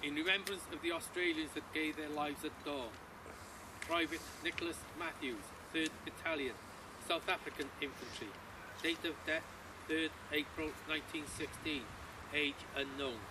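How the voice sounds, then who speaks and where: A man reads a roll of the fallen in a formal, even manner. He stands away from the mic outdoors, and clear background noise is heard. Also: fairly high pitch, moderate pace, recorded from a distance. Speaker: reader at a remembrance service.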